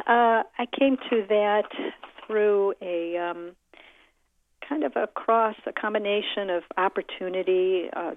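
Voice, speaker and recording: A middle-aged American woman giving reflective interview answers in a measured, deliberate way, pausing often as she thinks. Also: fairly low pitch, no room sound. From United States. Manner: measured, deliberate, with frequent pauses. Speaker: interviewee, concert pianist. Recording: muffled narrow-band phone or radio-like sound.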